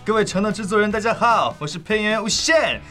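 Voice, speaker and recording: A man's voice: high voice, quick pace, sharp tone. A man who is a voice actor, throwing out playful hype and banter on a cast variety show. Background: music.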